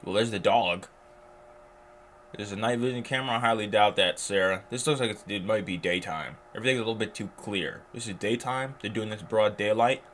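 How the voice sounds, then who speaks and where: A man's voice: on the low side, brisk. A man reading on-screen text aloud and breaking off into chatty, incredulous asides as he reacts to a video. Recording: loud background noise.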